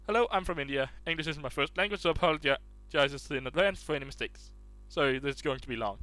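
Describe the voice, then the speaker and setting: A man's voice: on the high side, fast, sharp tone, no echo, rough recording. A man reading online posts aloud in a casual way, stumbling and restarting words as he goes.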